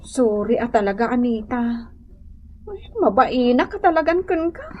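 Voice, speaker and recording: A woman's voice: a little high, a little slow, dark tone, muffled recording. An actress with an Ilocano accent playing a chastened wife trying to mend her ways in a radio drama, speaking hesitantly. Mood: contrite.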